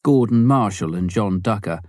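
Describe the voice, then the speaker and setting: Low-voiced man reading a memoir. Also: animated, normal speed, darkish tone, no echo, clean studio sound. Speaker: audiobook reader. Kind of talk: scripted narration of a memoir.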